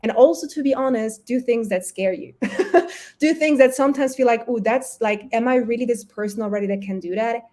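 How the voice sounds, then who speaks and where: A woman's voice: a little high, fairly quick, mellow. A woman whose first languages are German and Polish, talking casually and chattily as an interview guest, acting out her own self-talk. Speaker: guest, health coach and entrepreneur.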